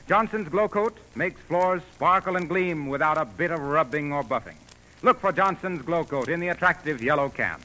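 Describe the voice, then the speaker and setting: Male 1940s American radio announcer: high, piercingly bright, theatrical pitchman delivery. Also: rough recording. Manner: theatrical, polished sales patter. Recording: old 1940s radio broadcast, faint noise, audience.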